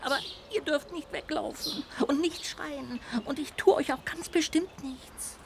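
A woman voicing a small, contrite, childlike ghost in a children's radio play, earnest, lamenting and expressive. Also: high voice, unhurried, a little hiss or hum. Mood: hushed, coaxing. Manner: expressive, lamenting, swinging between exclamations and hushed confiding.

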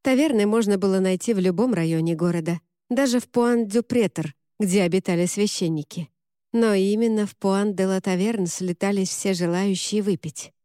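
A woman narrating a novel in an even, measured voice. Her tone is mellow and dark, and the recording is dry and close. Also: medium pitch, no room sound. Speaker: audiobook narrator. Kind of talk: scripted narration.

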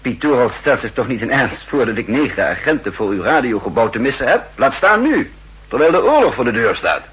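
Self-assured intelligence chief, very raspy husky tone, animated delivery, pedantic dry irony, 1960s radio drama recording. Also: male voice, medium pitch, brisk, lo-fi sound, full character voice. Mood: firm, brisk. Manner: pedantic, dryly ironic, in command. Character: shrewd, self-assured male chief of counter-espionage.

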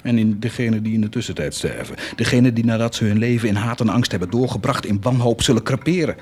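A man's voice: mid-range voice, fast talker, slightly rough, lightly acted. An actor playing a proud, fervent nobleman set on doing good, declaiming with theatrical intensity in a radio drama. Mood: indignant. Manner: declamatory, impassioned, swinging to hushed intensity.

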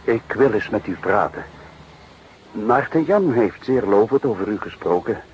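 Brief: calm older detective for radio drama, low pitch, courteous and deliberate, noisy recording. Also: male voice, lo-fi sound. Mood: politely probing. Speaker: actor playing police detective. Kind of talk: Dutch radio drama acting.

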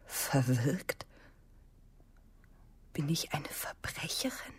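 A woman's voice: low-pitched, drawn-out words, breathy edge, whispered, heavily acted. An actress playing a bitter, wronged wife and mother in a radio drama, speaking with intense, dramatic delivery. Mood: wounded, insistent. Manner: intense, dramatic, swinging between hushed and strident. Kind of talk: German radio drama acting.

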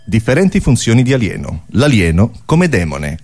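A man announcing comedy sketch titles on radio in a deadpan, scripted style. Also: fairly low pitch, normal speed, slight background noise.

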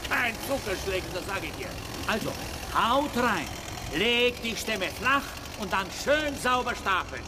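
A man's voice: high-pitched, animated, measured pace. An actor playing a coarse, bullying forestry foreman who barks orders in colloquial speech in a radio drama. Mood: angry, bullying. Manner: blustering, barking orders, colloquial, stammers when outraged. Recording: vehicle and engine noise behind.

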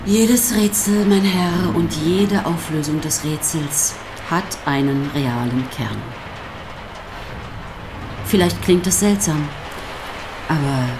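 An actress playing a sardonic, defiant pastor's wife in a radio drama, speaking with provocative, theatrical emphasis. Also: female voice, deep voice, noisy background. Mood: mocking, provocative. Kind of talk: German radio drama acting.

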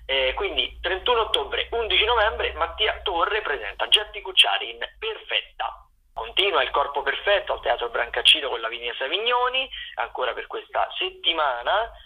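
A man hosting a radio programme, running through upcoming shows unscripted, in a halting, improvised way with drawn-out words and self-corrections. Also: a little high, very animated. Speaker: radio host presenting theatre listings. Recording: tinny, phone-like radio sound.

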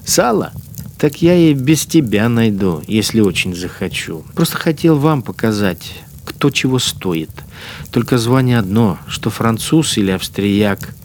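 A man's voice: mid-range voice, a little hiss or hum. An actor in a Russian radio drama playing a cynical, menacing collaborator policeman who muses in mocking, colloquial speech. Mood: sneering menace. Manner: colloquial, mocking, musing to himself.

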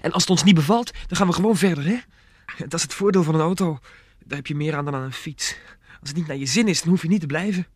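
An uneasy young man in a radio play, forever seeking reassurance. His voice sits a little high and close, with very little room around it, and he pleads as he talks. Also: fast talker, husky, no echo. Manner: pleading, seeking agreement with tag questions. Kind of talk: radio drama acting.

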